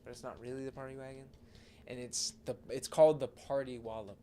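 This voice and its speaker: A man's voice: mid-range voice, little pitch movement, brisk, dark tone, a little hiss or hum. A man co-hosting a podcast, chatting casually with plenty of slang and occasional swearing. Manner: slangy, profane. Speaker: podcast co-host.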